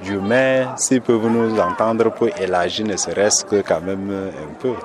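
A man's voice: on the low side, a little slow. A man interviewed at an outdoor market, speaking hesitantly and repeating words. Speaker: villager interviewed. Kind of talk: field interview. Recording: outdoor, crowd behind.

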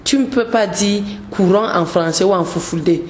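A woman's voice: mid-range voice, moderate pace, a little mellow, reverberant, slight background noise. A woman, a gospel singer speaking earnestly as a radio guest, often repeating words as she searches for them. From Cameroon. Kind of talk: radio interview.

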